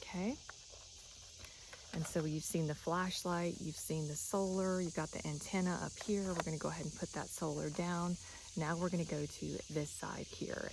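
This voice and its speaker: A woman's voice: low-pitched, vocal fry, noisy background. A woman giving a casual, chatty, instructional product demonstration. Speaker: product reviewer.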